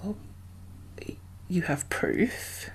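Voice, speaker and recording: A woman speaking softly and often whispering in an ASMR roleplay, gentle and halting, as a guarded but caring apocalypse survivor. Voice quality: soft, breathy, often whispered. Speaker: ASMR performer playing a role. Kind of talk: soft-spoken ASMR roleplay.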